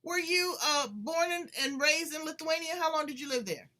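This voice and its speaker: Woman, American, talk show host, animated, chatty, slightly high voice. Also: moderate pace. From United States. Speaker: podcast host. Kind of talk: casual interview chat.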